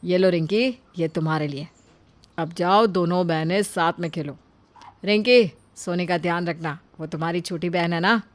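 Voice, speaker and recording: An affectionate young family man played by a woman in an animated moral tale. He speaks fondly and earnestly, as an uncle and father. The sound is dry and close. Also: fairly low pitch, moderate pace, no room sound, close to an everyday voice.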